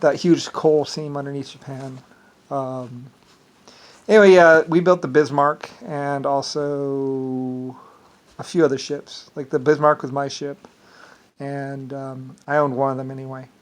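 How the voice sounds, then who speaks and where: A man's voice: medium pitch, flat delivery, unhurried. A man with an Irish accent delivering a rambling, digressive solo monologue with self-assured claims.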